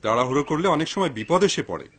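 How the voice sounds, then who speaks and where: A man playing a cautious, pious father in a dubbed cartoon, grave, measured and admonishing. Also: on the high side, very animated, slightly rough. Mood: wary.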